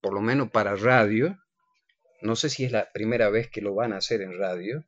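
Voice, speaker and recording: A man's voice: on the low side, moderate pace, a bit bright, no echo, dull audio. A man hosting a casual live radio interview, teasing playfully and speaking straight to the listeners. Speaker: radio host.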